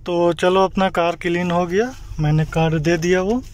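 Male driver-vlogger from India, slightly high voice, chatty and direct, filming himself on a noisy phone. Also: steady tone, moderate pace. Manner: chatty, addresses viewers directly. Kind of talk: casual vlog narration. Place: in a car and outdoors in a street. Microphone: handheld phone camera.

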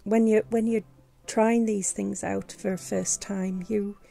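Woman, losing her voice, gravelly, mellow, hesitant fillers, faint background music. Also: medium pitch, level tone, measured pace. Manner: hesitant, many fillers and restarts. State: losing her voice, sore throat. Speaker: vlogger. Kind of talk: casual screen-recorded tutorial. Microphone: voice-over on screen recording.

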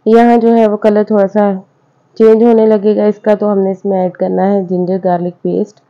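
A woman's voice: mid-range voice, level tone, normal speed. A woman, hosting a cooking channel and explaining a recipe step by step in a friendly, repetitive home-cook way. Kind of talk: voice-over recipe instructions. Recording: slightly dull, lo-fi sound.

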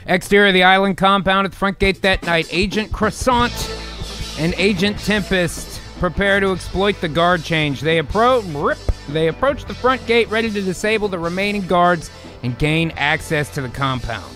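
A man's voice: high-pitched, moderate pace, pushed and bright, faint music behind. A man hosting and narrating a radio-play style show, mixing narration with casual banter. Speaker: host and narrator. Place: indoor home streaming room.

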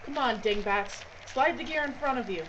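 Woman acting a bossy, high-voiced crew member. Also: animated, moderate pace, fairly bright tone. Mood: curt. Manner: curt, commanding. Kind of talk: audio drama acting. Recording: muffled, very noisy ambience, vehicle rumble.

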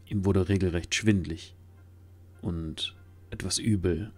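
A man's voice: deep voice, steady tone, takes it slowly, darkish tone, husky. A man reading scripted narration for a fantasy audio drama. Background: faint music.

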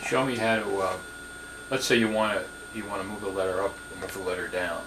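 A man's voice: sits low, steady tone, fast talker. A man asking step-by-step questions in a computer lesson, often restarting his sentences, recorded off camera. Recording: echoey room with noticeable hiss.

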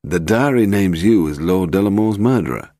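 A man's voice: deep voice, animated, normal speed, dark tone, dry recording, voice pushed up. A man voicing a blunt, sardonic British detective in an audiobook, with a dry, commanding manner. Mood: cool accusation. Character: blunt, sardonic British male police detective, coolly authoritative.

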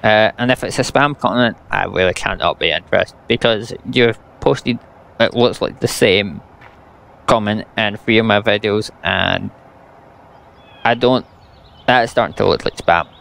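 Gravelly young Scottish man ranting. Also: lowish voice, a little slow, a bit bright. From Scotland. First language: English. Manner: blunt, exasperated, sarcastic. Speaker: gaming YouTuber. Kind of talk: ranting gameplay commentary.

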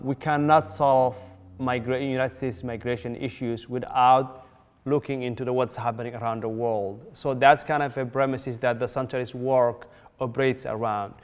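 A man in his forties, from Somalia, facilitating a community workshop in a measured way, with many fillers and restarts. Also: medium pitch, clean tone, long reverb tail. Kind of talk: workshop introduction. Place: community room, indoors.

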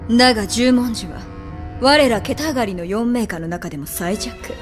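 A woman's voice: fairly high pitch, lively intonation, normal speed, long reverb tail, faint music behind, natural voice. A woman playing a composed, menacing female villain who speaks formally as a clan lieutenant in an anime audio drama. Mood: sinister composure.

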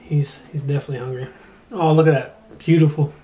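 A man's voice: fairly high pitch, fairly even intonation, fairly quick, mellow. A man in his twenties chatting casually as he reviews food for a vlog, recorded on a handheld camera. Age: 20s. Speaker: food vlogger. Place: restaurant, home kitchen, car, bedroom. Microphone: camera at arm's length. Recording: noisy handheld camera audio.

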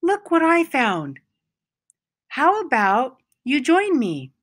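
A woman's voice: sits high, huge pitch swings, slow pace, voice pushed up, full character voice. A woman voicing an eager, friendly little boy puppy from a storybook, inquisitive and inviting. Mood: cheerful, inviting. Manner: bright-eyed, inviting, inquisitive.

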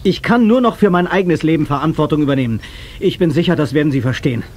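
Brash, high-pitched young action hero. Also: male voice, fast talker. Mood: guarded. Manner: informal, cocky. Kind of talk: radio play acting.

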